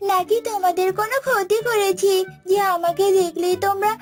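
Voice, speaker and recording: A woman voicing a gentle, wronged young female snake in a children's cartoon, meek and plaintive. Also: very high voice, fairly even intonation, fairly quick, music in the background. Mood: hurt, sorrowful.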